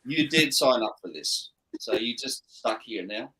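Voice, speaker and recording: A man's voice: medium pitch, animated, a little slow, sharp tone, slightly rough, poor audio. A man with an Australian accent with a hint of Scottish, hosting an easygoing, chatty stream. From Scotland. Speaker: host. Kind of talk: casual chat.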